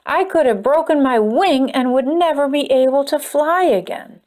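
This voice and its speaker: A woman's voice: high voice, moderate pace, a little mellow, lightly acted. A woman voicing a silly, eager male bird in a storybook, upbeat and encouraging.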